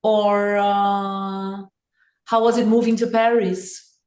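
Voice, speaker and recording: A woman's voice: mid-range voice, level tone, slow, darkish tone. A woman co-moderating a webinar with brief conversational prompts, heard in an echoey room.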